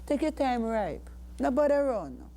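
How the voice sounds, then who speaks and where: An older Jamaican woman reciting poetry in a solemn, measured, declamatory manner. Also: high voice, very animated, mellow. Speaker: poet.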